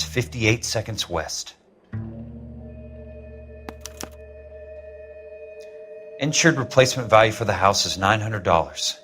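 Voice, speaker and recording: A man's voice: lowish voice, a bit bright. A man playing a tough, world-weary insurance investigator and ex-Marine in a 1940s detective game, talking bluntly and sardonically. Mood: dry, probing. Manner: blunt, sardonic, hard-boiled. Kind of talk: 1940s detective game dialogue, naturalistic acting.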